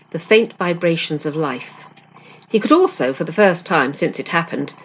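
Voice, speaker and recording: A woman reading a children's storybook aloud as narration, with an expressive, dramatic delivery. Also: lowish voice. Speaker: amateur book reader.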